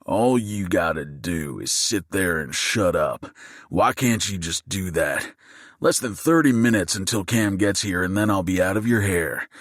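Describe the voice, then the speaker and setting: A man voicing a gruff, low-voiced masked gunman who threatens a family, in an audiobook. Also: animated, a little slow, fairly bright tone, dry recording, studio quality, exaggerated voice. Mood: threatening.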